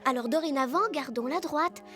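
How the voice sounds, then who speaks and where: A woman's voice: high-pitched, normal speed, sharp tone, faint music behind. A woman voicing a sensible, caring young female animal companion in a children's cartoon, earnest and practical. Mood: urging. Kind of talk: animated children's film dub.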